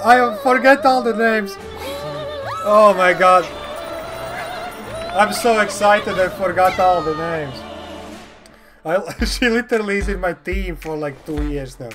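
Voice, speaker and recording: High-voiced man reacting over music. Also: a little slow, pauses often. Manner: excitable, exclamatory, repeats phrases. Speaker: reaction video host. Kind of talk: casual off-the-cuff reaction commentary. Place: indoor room. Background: game trailer music.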